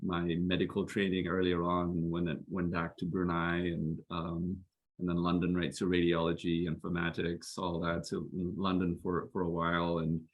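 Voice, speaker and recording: A man's voice: low voice, completely flat delivery, normal speed. A man in his fifties from Southeast Asia, a guest telling his career story in an earnest, reflective way and laughing easily. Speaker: guest, physician and health executive. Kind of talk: casual video-call interview.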